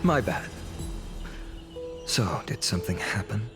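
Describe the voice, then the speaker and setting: An actor playing a mild-tempered, earnest young hero in a video game, polite, sincere and formal. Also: male voice, on the low side, animated, slow pace, over music. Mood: apologetic.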